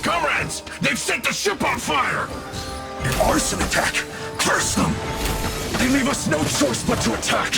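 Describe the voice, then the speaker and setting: Gravelly, shouting, vengeful fighter. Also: male voice, extremely high pitch, expressive, unhurried, over loud music, full character voice. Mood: furious, rallying. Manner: shouting, exclamatory. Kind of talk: video game voice acting.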